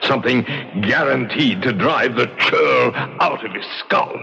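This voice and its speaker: Vain ancient English ghost, unhurried florid delivery, repeated words, theatrical radio play, noisy muffled vintage recording. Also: male voice, mid-range voice, vocal fry. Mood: boastful, gloating. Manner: hammy, florid, repeating words, savoring gruesome phrases. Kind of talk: old-time radio drama acting. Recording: muffled old radio recording with heavy noise.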